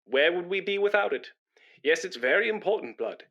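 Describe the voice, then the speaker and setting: A man's voice: high-pitched, lively intonation, fairly quick, fairly bright tone, highs cut off, full character voice. An actor playing a pompous, self-important man in a British radio comedy, blustering and indignant, with a matey know-all manner. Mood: chatty, know-all.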